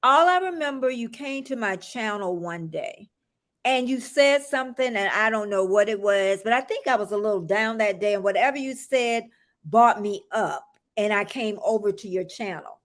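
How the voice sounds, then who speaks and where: A woman from the United States hosting a casual live-stream chat, chatty and quick to laugh. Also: medium pitch, expressive. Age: older. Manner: laughs often, chatty and encouraging. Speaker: host.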